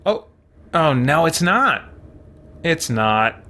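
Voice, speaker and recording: A man's voice: on the high side, big pitch swings, extremely slow, bright, forceful tone. A man hosting a game live stream, thinking aloud in casual, easygoing commentary and chatting with viewers.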